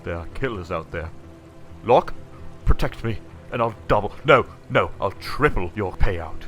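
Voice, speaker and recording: A man's voice: fairly low pitch, expressive, measured pace, fairly bright tone, soft background music. A man acting a self-important, easily panicked corporate client in a sci-fi audio drama, pompous and wordy.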